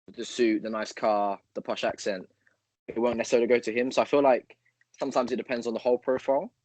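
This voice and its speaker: A man co-hosts a casual podcast chat. His voice sits a little low, and it is recorded dry and close with no room sound. He rambles as he thinks aloud, piling up fillers and hypothetical examples. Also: darkish tone, no room sound, poor audio. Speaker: podcast co-host. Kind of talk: casual conversational interview.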